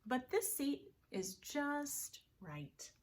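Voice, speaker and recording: A big, fussy teddy bear from a picture book read by a woman. He stretches out each choosy pronouncement slowly, with lively rises and falls. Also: high voice, pitched above the performer's own voice, exaggerated voice. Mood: contented.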